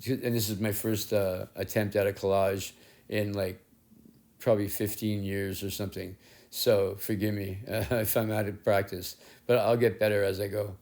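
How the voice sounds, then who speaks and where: Raspy-voiced middle-aged man, rambling. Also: on the low side, flat delivery, darkish tone. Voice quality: rough, raspy voice. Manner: rambling, self-deprecating, halting with restarts. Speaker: collage artist and painter. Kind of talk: casual narration while working. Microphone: head-mounted action camera.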